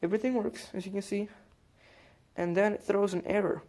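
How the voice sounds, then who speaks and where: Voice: mid-range voice, steady tone, brisk, darkish tone. A hobbyist presenter giving a casual hands-on demonstration, explaining things informally step by step.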